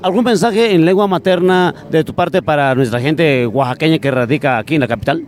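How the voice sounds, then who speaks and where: A man with a Mexican accent interviewing protesters for a community radio station, pausing often with "este". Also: fairly high pitch. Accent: Mexican Spanish. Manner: halting, with repeated "este" fillers. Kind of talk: street interview.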